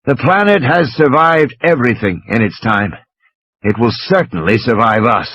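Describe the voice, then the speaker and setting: A man's voice: mid-range voice, animated, normal speed, fairly bright tone, breathy edge, dry recording, highs cut off, pitched below the performer's own voice. A man playing an exasperated, scornful intellectual who lectures with emphatic passion in a dramatised audiobook. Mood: grand, intense. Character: impassioned, scornful male intellectual lecturing from his sickbed. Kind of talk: dramatised audiobook character reading.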